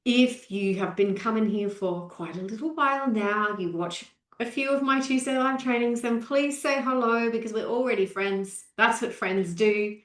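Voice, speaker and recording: A woman's voice: a little high, moderate pace. Upbeat woman in her forties, roomy echo. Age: 40s. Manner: upbeat, greets viewers by name. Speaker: nutrition coach. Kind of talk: live-stream training.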